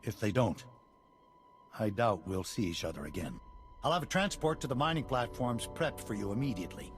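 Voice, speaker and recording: Casting: male actor for worried resistance ally in a game, lowish voice, formal and measured. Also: lively intonation, natural voice. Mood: grave. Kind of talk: video game cutscene acting. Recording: music playing behind.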